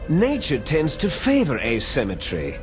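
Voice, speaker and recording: Villain, male, for a video game: menacing, theatrical, higher-pitched, unhurried delivery. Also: wildly swooping pitch. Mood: bitter, lecturing. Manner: grandiose, contemptuous, theatrical monologuing. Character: menacing, arrogant male villain obsessed with genetic fate. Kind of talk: dramatic video game acting. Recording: background music under the dialogue.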